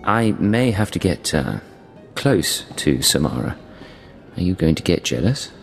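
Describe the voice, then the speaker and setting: Low-voiced, suave secret agent. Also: male voice, sing-song, creaky, full character voice. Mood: cool, suave. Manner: composed, suave, measured. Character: smooth, self-assured male secret agent, flirtatious schemer. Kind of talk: video game voice acting. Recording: music under the voice.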